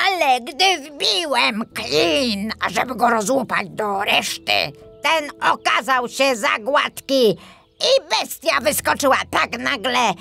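A woman's voice: sits high, creaky, bright, forceful tone, voice pushed up, full character voice. A woman voicing an irritable, ungrateful old male dwarf in a storybook, scolding with emphasis. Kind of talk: acted storybook dialogue.

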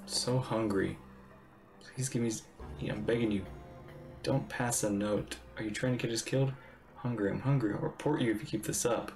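A man's voice: lowish voice, fairly even intonation, moderate pace, darkish tone. A middle-aged man casually commenting and reading aloud as he streams a video game. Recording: game music clearly behind.

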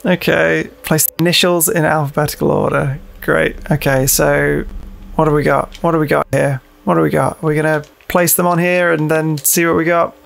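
A man's voice: fairly high pitch, flat delivery, normal speed, creaky, a bit bright. A man hosting a gaming video with casual, jokey, sweary commentary, muttering to himself and reading on-screen text aloud. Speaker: gaming video host playing a horror game.

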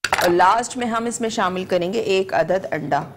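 A woman's voice: medium pitch, moderate pace, slight background noise. Woman chef with an Urdu accent. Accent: Pakistani Urdu. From Pakistan. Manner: patient step-by-step instruction, repeats each ingredient. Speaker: TV cooking show chef. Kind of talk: live TV cooking demonstration. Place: TV studio kitchen set.

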